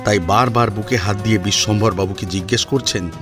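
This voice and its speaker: A man narrating a scripted family drama in an expressive, suspenseful storytelling manner. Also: lowish voice, a little fast, music in the background.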